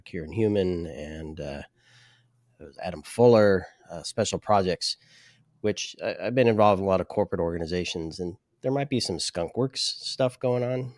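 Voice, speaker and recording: A male co-host of a podcast speaks in a very deep voice, setting up questions thoughtfully and steering the topic. His voice sounds dry and close to the mic. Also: darkish tone, no room sound. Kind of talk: interview questions.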